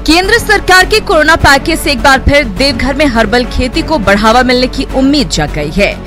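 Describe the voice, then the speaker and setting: A woman with an Indian accent narrates a news report in a high voice. Her delivery is scripted, in the manner of a voice-over, with music clearly playing underneath. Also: expressive, moderate pace.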